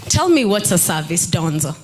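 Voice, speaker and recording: A woman's voice: on the low side, big pitch swings, normal speed, music in the background. A woman in her thirties on a summit panel, coaching the audience on personal branding and asking them questions. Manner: engaging, asks the audience questions. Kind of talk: panel talk to a live audience. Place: stage at a summit. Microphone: handheld mic.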